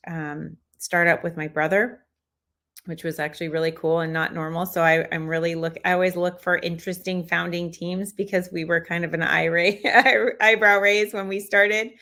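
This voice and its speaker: A woman speaking as a panelist on a video call, introducing herself casually with quick restarts. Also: fairly low pitch, pushed and bright. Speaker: panelist, founder and investor.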